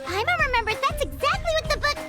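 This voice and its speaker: Very high-pitched chirpy fairy girl. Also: big pitch swings, fast talker. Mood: excited. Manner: chirpy, exclamatory. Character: small excitable childlike fairy girl companion, speaks of herself in third person. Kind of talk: video game voice acting. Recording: loud music behind.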